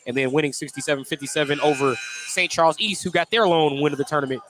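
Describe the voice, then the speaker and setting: A man doing live radio play-by-play of a high school basketball game, describing the action in clipped running phrases. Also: quick pace, noisy background. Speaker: play-by-play announcer.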